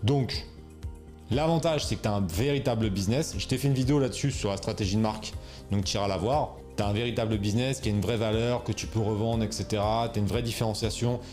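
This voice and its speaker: A man's voice: on the low side, quick pace, a little mellow, faint music behind. A man coaching viewers on selling online, talking casually with slang, fillers and restarts. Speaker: e-commerce coach. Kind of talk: casual explainer video.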